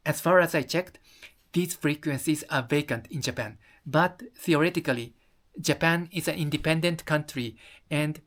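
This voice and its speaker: Halting, careful explainer with non-native English. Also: mid-range voice. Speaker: video presenter. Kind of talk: explanatory talk to viewers.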